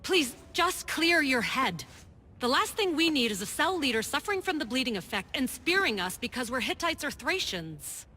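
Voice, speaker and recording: Bright-voiced woman doctor, long reverb. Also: high-pitched, sing-song, normal speed, faint music behind, heavily acted. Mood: concerned, firm. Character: caring but firm, skeptical woman doctor. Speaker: video game character, team doctor. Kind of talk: video game cutscene acting. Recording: long reverb, faint background noise.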